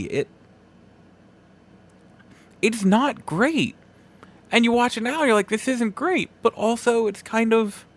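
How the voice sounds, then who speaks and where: A man hosting a solo podcast, giving casual commentary in a halting, rambling way and repeating himself for emphasis. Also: high voice. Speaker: podcast host.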